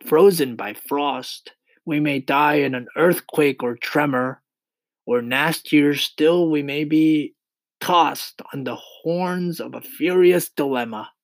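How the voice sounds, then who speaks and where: Man playing an exuberant, slow-reciting storybook centipede. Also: fairly high pitch, no room sound, voice pushed up. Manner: declaiming in sing-song verse. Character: grinning boastful centipede, exuberant, reciting mischievous rhymes. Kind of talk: children's storybook reading.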